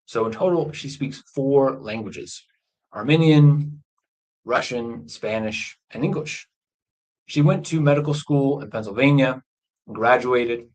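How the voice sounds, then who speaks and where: A man teaches English by telling a true story, speaking slowly and plainly. His pitch sits in the middle range for a man. He pauses to explain words during a live stream. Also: darkish tone, rough recording. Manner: slow, clear explanations of key words. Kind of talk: slow storytelling lesson for learners. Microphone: livestream microphone.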